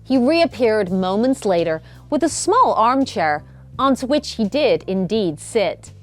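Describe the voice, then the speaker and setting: A woman reading a children's bedtime story as an expressive narrator. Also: fairly high pitch, unhurried. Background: music.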